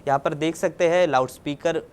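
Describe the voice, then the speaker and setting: A man's voice: on the high side, brisk, smooth. A man presenting a news report, explaining as he goes and often restating his points.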